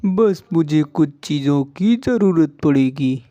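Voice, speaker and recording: A man voicing a helpful, determined male donkey in a cartoon moral story, speaking earnestly and deliberately. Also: a little high, lively intonation, dark tone, no room sound. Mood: reassuring. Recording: dull lo-fi audio with music.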